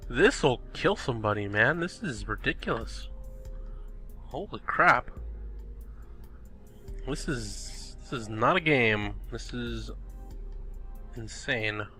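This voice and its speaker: A young man talks through a game in a loose, chatty way, thinking aloud and blurting out exclamations. He sounds a little sniffly, and his voice is bright and forceful. Faint music plays behind him. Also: medium pitch, lively intonation, slow, long pauses. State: sniffly, recovering from illness. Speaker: gaming video host. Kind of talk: casual gameplay commentary. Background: background music.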